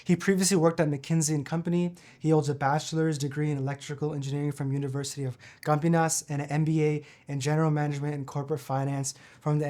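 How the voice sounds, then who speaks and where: Upbeat young host, higher voice. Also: male voice, a little mellow. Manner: upbeat and effusive. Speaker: podcast host. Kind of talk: scripted intro and interview questions. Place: studio in an office.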